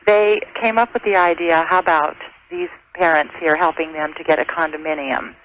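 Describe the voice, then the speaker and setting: A woman's voice: deep voice, fairly even intonation, moderate pace. A middle-aged American woman calling a radio show, chatting casually in a good-humored, slightly hesitant way over the telephone. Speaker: radio call-in show caller. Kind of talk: casual phone conversation. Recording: dull, faintly noisy telephone line.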